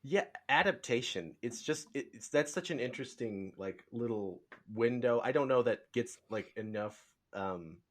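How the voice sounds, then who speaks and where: A man hosting a casual interview, speaking in a halting, rambling way with tentative phrasing. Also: mid-range voice, expressive, a bit bright. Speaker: podcast host, interviewer.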